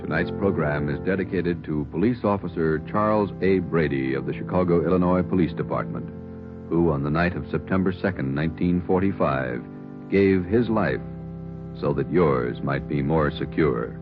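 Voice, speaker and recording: A man's voice: extremely low pitch, moderate pace. A male announcer reading a solemn closing statement on a 1940s radio show. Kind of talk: formal broadcast announcement. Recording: dull lo-fi old radio broadcast with music.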